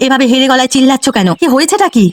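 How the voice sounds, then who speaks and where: A woman voicing a greedy, short-tempered village wife in a cartoon, sharp-tongued and nagging. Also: sits high, lively intonation, racing. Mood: irritated. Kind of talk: Bengali cartoon voice acting.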